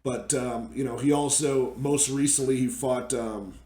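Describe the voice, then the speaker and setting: A man with a hoarse, gravelly voice that is fading, running through his betting picks in a loose, casual way. He leans on fillers and restarts, and his mid-pitched voice sounds in an echoey room. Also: steady tone, unhurried, mellow. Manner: filler-heavy, restarts often. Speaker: sports betting host.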